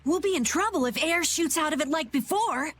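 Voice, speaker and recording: A woman's voice: high-pitched, lively intonation, a little fast, fairly bright tone. An actress playing an eager, easily rattled young boy adventurer in a video game. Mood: puzzled. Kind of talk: animated video game acting.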